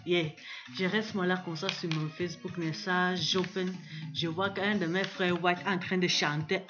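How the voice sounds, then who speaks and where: Playful woman in a dull lo-fi clip. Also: fairly low pitch. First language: Italian. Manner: playful, mixes in slang and English words. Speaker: social media video maker. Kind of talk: playful selfie video monologue.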